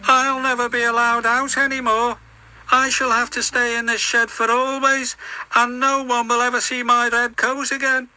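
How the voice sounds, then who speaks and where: A man voicing a proud red male engine who speaks in a high, breathless, excited voice. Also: normal speed, clean tone, heavily acted, rough recording. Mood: sad.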